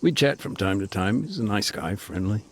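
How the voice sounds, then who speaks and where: An actor playing a thoughtful aid worker in a war zone, talking on the phone from a car in a relaxed, hesitant way. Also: male voice, fairly low pitch, moderate pace, slight background noise, close to an everyday voice. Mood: calm. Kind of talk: video game cutscene dialogue. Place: moving vehicle. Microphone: phone call.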